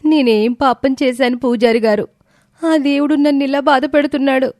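A woman's voice: sits high, steady tone, moderate pace, darkish tone, no echo, clean studio sound, natural voice. A woman playing a sorrowful, meek young wife with a plaintive manner in a story drama. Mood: tearful lament.

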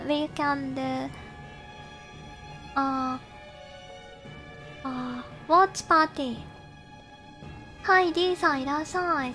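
A woman's voice: high-pitched, fairly bright tone. A woman from Japan with a Japanese accent, chatting casually and politely with viewers as she livestreams a game. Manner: polite, thanks and greets viewers often. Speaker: game streamer. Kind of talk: casual livestream chat while gaming.

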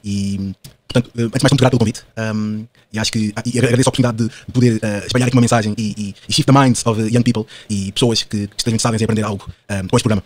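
A man in his twenties with a European Portuguese accent, talking as a podcast guest in an animated, slangy way with lots of fillers and tag questions. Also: fairly low pitch, rapid-fire. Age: 20s. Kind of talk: casual podcast conversation.

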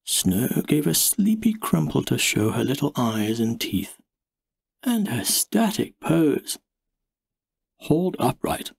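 A man reading an audiobook story in the first person, with measured, wry and expressive delivery. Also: mid-range voice, creaky, breathy edge, dry recording. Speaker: audiobook narrator.

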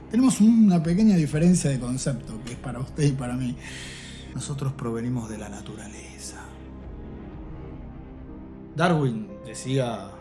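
An actor with a Rioplatense Argentine accent playing a menacing, deliberate man who lectures in rhetorical questions in a film drama. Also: medium pitch, natural voice. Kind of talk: film drama acting, philosophizing monologue. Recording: echoey film scene with music behind.

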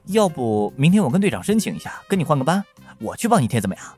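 A man performs a brash young childhood friend in an audio drama. He is mocking and exclamatory, with pitch that swoops dramatically. Faint music plays behind the teasing delivery. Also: sing-song, quick pace, a little mellow. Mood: mocking, pushy.

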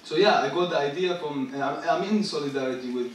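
A young man in his twenties from Syria, a rapper talking on a theater stage, halting and searching for words. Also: a little high, far from the mic, a little hiss or hum. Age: 20s. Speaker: rapper and guest artist. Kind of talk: stage talk. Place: large theater stage with live audience. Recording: echoey stage, picked up from afar.

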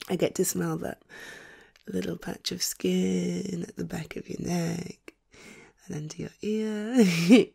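A woman playing a sleepy, tender, playful girlfriend in an ASMR roleplay, speaking softly and coaxingly with a breathy, often whispered delivery. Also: on the low side, full character voice. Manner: soft, coaxing, affectionate, unhurried.

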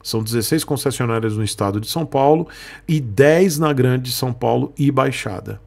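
Gravelly man explaining casually. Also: medium pitch. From Northeast Brazil. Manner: chatty, explanatory, frequent "tá, gente?" asides. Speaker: motorcycle channel host. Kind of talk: casual market commentary. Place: small indoor room.

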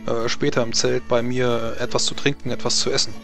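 A man's voice: medium pitch, flat delivery, brisk, creaky, a bit bright. A man playing a courteous, apologetic noble knight in a tabletop game, speaking hesitantly and politely. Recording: background music.

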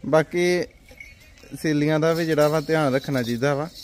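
A man's voice: a little high, normal speed, soft background music. A man hosting a livestock sale video, with a friendly, promotional manner, talking outdoors in a farmyard. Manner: friendly, brief acknowledgments, promotional. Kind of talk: casual interview.

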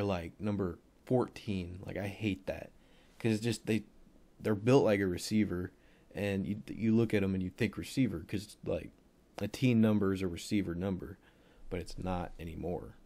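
A young man with a cold and a voice deeper than usual, casually rambling through a football film breakdown with plenty of fillers. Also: normal speed, warm tone. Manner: rambling, offhand, thinks aloud with many fillers.

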